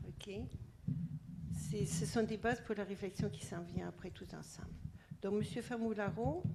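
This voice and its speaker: A woman's voice: mid-range voice, darkish tone, a little hiss or hum, rough recording. Hesitant woman moderator introducing speakers. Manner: hesitant, with many restarts. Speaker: event moderator.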